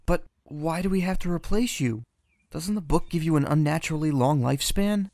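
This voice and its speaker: An unsure young apprentice boy voiced by an actor in a fantasy audio drama. The voice is fairly mellow and dry, with a hesitant, questioning way of talking. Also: medium pitch, fairly quick, no room sound, natural voice. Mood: puzzled.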